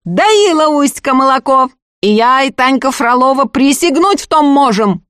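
A woman voicing a furious young peasant woman who hoarsely accuses a rival of witchcraft. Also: sits high, lively intonation, measured pace, no echo, studio quality, voice pushed up. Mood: furious accusing.

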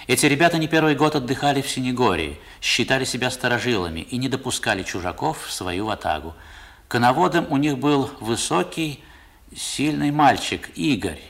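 An actor narrating a 1960s radio play as a man looking back on his boyhood, in a measured, literary, reflective manner. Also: medium pitch, fairly bright tone.

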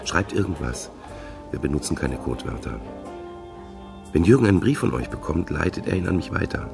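An actor playing a wary, conspiratorial contact in a crime radio play, gravelly, giving clipped and businesslike instructions. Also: male voice, rumbling low, lively intonation, fairly quick, over music, heavily acted. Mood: guarded, businesslike. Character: wary male smuggler-helper, hushed and businesslike.

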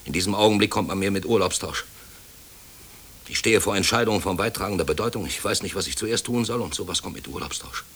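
An actor playing a brusque, overworked middle-aged company director in a German radio play, officious and self-pitying. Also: male voice, deep voice, quick pace, fairly bright tone. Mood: irritated. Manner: brusque, officious, brooding when alone. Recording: hissy old radio play recording.

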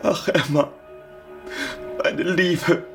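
An actor playing a gentle, devoted husband in a radio drama, soft, affectionate and sorrowful. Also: male voice, on the high side, steady tone, extremely slow, over music. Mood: tender grief. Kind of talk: literary radio drama acting.

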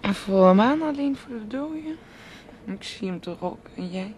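A woman's voice: medium pitch, expressive, normal speed, a little hiss or hum, natural voice. Dreamy, teasing woman acted in a radio play. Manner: musing, repetitive, breaks into soft laughs. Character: dreamy, rambling, teasing woman on an island at night. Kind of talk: Dutch radio play acting.

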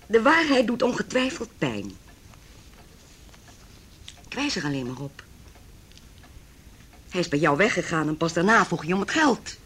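A woman's voice: lowish voice, big pitch swings, measured pace, long pauses, a bit bright, slight background noise. An actress playing a cold, wealthy middle-aged mother in a Dutch radio play, speaking in a formal, condescending, cutting way. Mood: scornful, firm. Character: haughty, cold, wealthy mother opposing her son's marriage.

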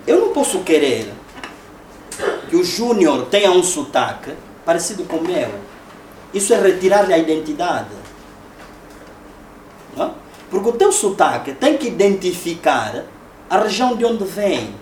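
A man's voice: high voice, sing-song, normal speed, pauses often, a little mellow. A man with an Angolan Portuguese accent giving a public lecture in a conversational way, often ending points with rhetorical tag questions. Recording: echoey room, distant mic, noisy.

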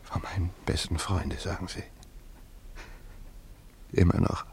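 An actor playing a desperate, obsessive gambler in a German radio drama, muttering in repetitive, brooding monologue. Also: male voice, sits low, fairly even intonation, unhurried, gravelly, a little mellow. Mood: pleading. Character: desperate, obsessive young male gambler alone abroad. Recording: noisy vintage radio drama recording.

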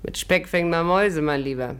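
A woman's voice: on the low side, moderate pace, fairly bright tone, smooth, close to an everyday voice. Woman playing a brassy, sassy barmaid. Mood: mocking. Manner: mocking, sassy, self-assured. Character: brassy, worldly female barmaid, former gangster's girlfriend. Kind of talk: 1950s German radio play acting.

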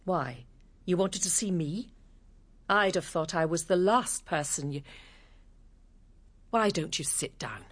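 An actress playing a devoted singer, tender but sharp-tongued when hurt, in a radio drama. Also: female voice, on the low side, expressive, slow pace, long pauses. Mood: cool, probing. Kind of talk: broadcast radio drama acting.

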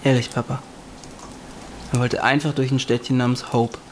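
A man's voice: medium pitch, level tone, moderate pace, a little hiss or hum, lightly acted, rough recording. An actor playing a worried, coaxing young man in a naturalistic radio drama, speaking in clipped, urgent pleas to his father. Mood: placating. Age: young adult. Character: worried, coaxing grown son, impatient but caring.

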